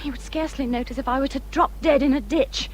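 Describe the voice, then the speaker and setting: A woman playing a peevish, self-pitying young married English gentlewoman in a period radio drama, with a plaintive, complaining way of talking. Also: sits high, fairly even intonation, fast, a little hiss or hum, close to an everyday voice. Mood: indignant.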